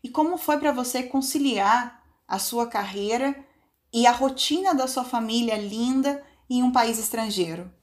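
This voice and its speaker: A woman hosts a science podcast and asks her guest questions in a fairly high voice. She sounds enthusiastic and openly admiring. Her questions are long and carefully framed. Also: a little slow. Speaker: podcast host.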